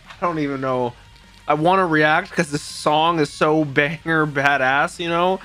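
A young man reacting out loud to an anime episode, exclaiming and swearing casually into a boom mic in a home streaming room. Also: steady tone, a little slow, faint music behind. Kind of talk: excited reaction commentary. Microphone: boom mic with headphones. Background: anime soundtrack playing.

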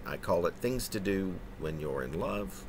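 A man in his fifties performs a poem in a low-pitched voice. His delivery is theatrical and animated, broken into halting phrases with chatty asides. It is recorded on a webcam indoors, with a little background noise. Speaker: poet reading his own poem. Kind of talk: dramatic poetry reading with conversational asides. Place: small room indoors.

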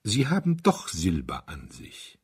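An audiobook priest acted by a male reader, polite yet grave and emphatic. The voice is very husky and swings widely in pitch. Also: wildly swooping pitch, measured pace, a little mellow, heavily acted. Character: kindly priest turning sternly menacing, courteous and solemn. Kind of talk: audiobook character voice.

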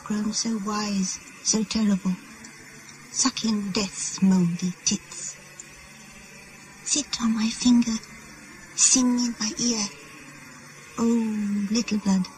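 An actress performing a slow, hushed incantation in a 1980s radio play. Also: female voice, mid-range voice, level tone, slight background noise, natural voice, rough recording. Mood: eerie, incantatory. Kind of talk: 1980s radio drama acting.

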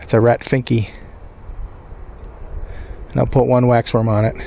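A middle-aged man giving casual fishing tips outdoors on a frozen lake, murmuring excitedly as he reels fish in. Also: medium pitch, unhurried, vocal fry, a little hiss or hum. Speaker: fishing video host. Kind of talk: casual instructional narration. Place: outdoors on a frozen lake and inside an ice shanty.

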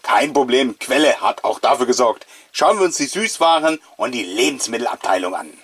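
A man's voice: medium pitch, lively intonation, fairly quick, a bit bright, slightly rough. A man, giving chatty, playful, nostalgic commentary as the host of a casual video show. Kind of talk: casual, jokey commentary on an old mail-order catalogue.

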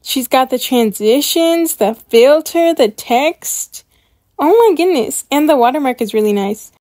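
Female reaction host, high voice, bubbly and chatty, full of enthusiasm, dry sound. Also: measured pace, dry recording. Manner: bubbly, gushing, excited. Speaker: YouTube host reviewing channels. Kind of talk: casual reaction commentary.

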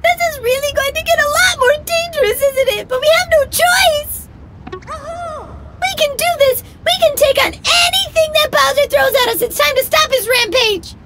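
A woman's voice: very high-pitched, normal speed, noisy background. A woman voicing a tiny, plucky video game helper creature, earnest, exclamatory and encouraging. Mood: determined. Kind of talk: video game character voice acting.

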